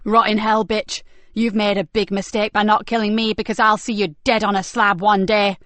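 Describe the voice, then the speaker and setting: A woman voicing a tough, fierce female police officer who speaks forcefully and urgently. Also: medium pitch, level tone, moderate pace, dry recording, close to an everyday voice. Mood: furious.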